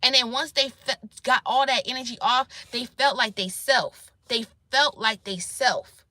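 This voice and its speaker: A woman with a squeaky voice giving blunt, profane life advice in a casual monologue to her viewers. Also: a little slow, bright, forceful tone, dry recording. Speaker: self-employed vlogger giving life advice.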